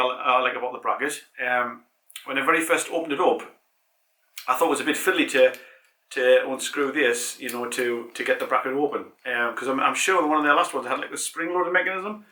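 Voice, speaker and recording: A man's voice: mid-range voice, bright, forceful tone, reverberant, low-quality recording. A British man with a head cold hosting a casual cycling product review, rambling with many ums and restarts. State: congested with a cold. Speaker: cycling channel host.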